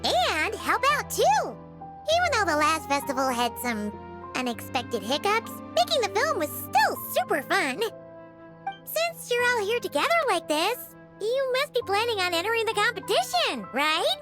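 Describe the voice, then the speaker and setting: A woman voicing a tiny, nosy, excitable floating companion in a game, asking eager, bouncy questions. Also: very high-pitched, a little slow, heavily acted. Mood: excited. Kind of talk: game cutscene voice acting. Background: music.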